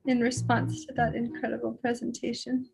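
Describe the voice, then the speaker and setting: Affectionate woman host on a call, higher gravelly voice, guitar underneath. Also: completely flat delivery, normal speed, low-quality recording. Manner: gushing and affectionate. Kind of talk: online salon hosting.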